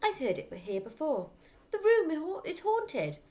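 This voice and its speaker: A woman voicing a bold, crafty young girl in a children's storybook, animated and insistent. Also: high voice, slow pace, a little hiss or hum, pitched above the performer's own voice, heavily acted. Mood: dramatic.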